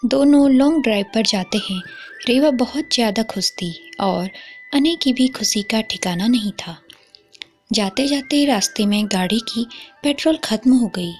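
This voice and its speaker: A woman's voice: fairly high pitch, a little slow. A woman storyteller reading a scripted romantic story. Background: faint music.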